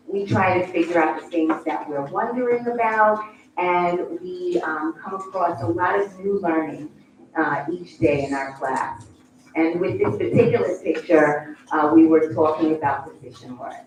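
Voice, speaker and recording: A woman's voice: on the low side, moderate pace. A woman kindergarten teacher patiently coaxing young students through a classroom demonstration at a school board meeting. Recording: echoey room, distant mic, background noise.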